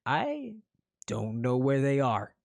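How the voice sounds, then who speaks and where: A man's voice: medium pitch, huge pitch swings, slow pace, creaky, darkish tone, no echo, full character voice. A storyteller voicing a cheeky, boastful young male commoner hero who teases his rival. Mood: protesting.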